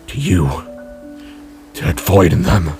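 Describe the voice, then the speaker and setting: A man performs an older metal-bodied father from a dramatic fantasy story. The voice sits low and grave, weighed down by remorse. Lines come slowly and subdued, with music playing behind. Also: lively intonation, dark tone. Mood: solemn regret.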